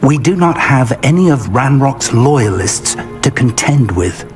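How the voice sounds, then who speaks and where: An actor playing an earnest middle-aged wizard professor and mentor in a video game, speaking in a deliberate, articulate, scholarly way. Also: male voice, mid-range voice, very animated. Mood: musing, confiding. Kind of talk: dramatic video game voice acting. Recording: music playing behind the voice. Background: game music.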